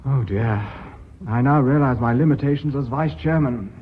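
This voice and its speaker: A man's voice: mid-range voice, normal speed, a little hiss or hum, lightly acted. An actor with a British accent playing an exasperated but orderly company vice chairman in a radio drama. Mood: exasperated, pleading. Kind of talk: BBC radio drama acting. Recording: dull lo-fi radio recording.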